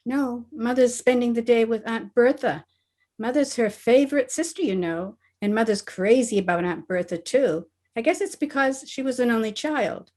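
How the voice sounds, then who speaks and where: Scatterbrained teen schoolgirl played by a woman: high-pitched, naive, excitable, vintage radio sitcom style. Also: normal speed, natural voice. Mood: earnest, muddled. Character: earnest, scatterbrained teenage schoolgirl, principal's daughter. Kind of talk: old-time radio comedy acting. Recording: remote video-call recording.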